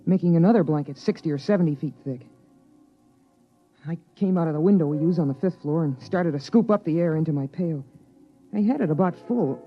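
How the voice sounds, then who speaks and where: A man's voice: a little high, natural voice. Boy narrator played by a man, anxious and eager, old radio play, dull lo-fi sound. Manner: earnest, breathless boyish delivery. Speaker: narrator and young son. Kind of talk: 1950s radio drama acting. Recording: muffled 1950s radio broadcast.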